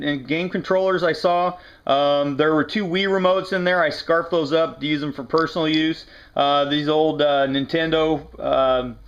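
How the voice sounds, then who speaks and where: A man's voice: fairly high pitch, fairly bright tone. Slangy American man, muffled lo-fi sound. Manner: laid-back, slangy, folksy. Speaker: reseller showing yard sale finds. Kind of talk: casual chat to camera. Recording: dull lo-fi camera audio.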